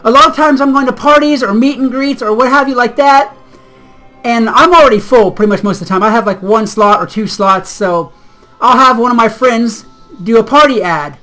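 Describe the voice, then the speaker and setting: A woman gaming host with a slightly hoarse, scratchy voice that still sounds bright and forceful. She chats casually and rambles, drifting off onto side notes. Faint music plays underneath. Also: on the high side, normal speed. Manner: chatty, rambling, easily sidetracked. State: slightly hoarse, getting sick. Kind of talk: casual gaming vlog chat.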